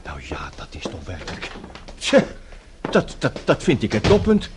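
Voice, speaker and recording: An actor playing a flustered, earnest suitor in a radio play, stammering and hesitant, quick to take offence. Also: male voice, on the low side, wildly swooping pitch, noisy background. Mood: indignant, flustered. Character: flustered, boyish suitor, earnest and easily indignant. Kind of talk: Dutch radio play acting.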